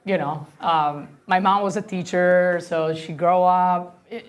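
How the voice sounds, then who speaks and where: A woman's voice: fairly low pitch, fairly even intonation, unhurried. A woman from Italy with an Italian accent, a sailor talking casually in an interview, laughing often and acting out what people said to her. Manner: chatty, laughs often, acts out quoted dialogue. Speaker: professional sailor guest.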